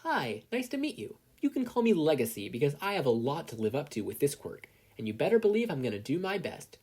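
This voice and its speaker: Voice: mid-range voice, big pitch swings, a little fast, darkish tone, breathy edge, pitched above the performer's own voice. A performer voicing a nervous, earnest teenage boy hero trainee in an audio story. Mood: calm confidence. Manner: anxious, earnest, rambling questions.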